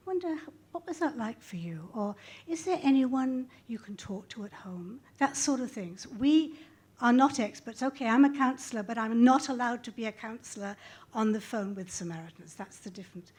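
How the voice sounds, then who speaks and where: Woman on a panel, kinda high voice but really breathy and husky, earnest, thoughtful explaining. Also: normal speed, a little mellow. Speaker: panelist, crisis hotline volunteer and counsellor. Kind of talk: panel discussion, reflective explanation.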